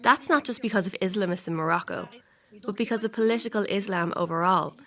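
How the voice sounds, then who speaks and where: A woman voicing an interviewee's words as a translation voice-over, in an earnest, steady read. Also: mid-range voice, fast.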